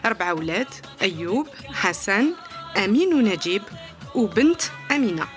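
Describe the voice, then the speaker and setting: A woman's voice: mid-range voice, racing, soft background music. A woman reporter narrating a scripted voice-over for a television segment. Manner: lively, animated narration.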